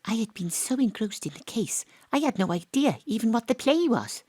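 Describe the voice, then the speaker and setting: An actress playing a wry, cocky woman detective who narrates her case in a deadpan, confiding audio drama style. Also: a little high, moderate pace, husky, dry recording.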